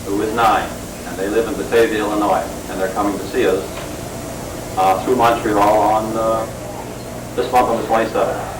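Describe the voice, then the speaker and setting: A man's voice: lowish voice, steady tone, normal speed. A man in his sixties telling his family story in a rambling, joking way, with many fillers. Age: 60s. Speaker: family member introducing himself. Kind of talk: casual recorded family introductions. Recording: echoey room, mic far off, noisy.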